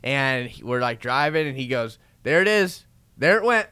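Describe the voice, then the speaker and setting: A young man from Florida hosting a casual church interview, chatty and animated as he tells stories and laughs often. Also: medium pitch, normal speed, clean tone. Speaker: podcast host.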